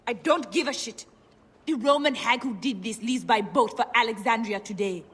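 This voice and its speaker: A woman's voice: on the high side, moderate pace, a bit bright, slightly rough, in a large hall. An actress playing a bitter, wounded woman hungry for revenge in a dramatic video game. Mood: vengeful, fierce.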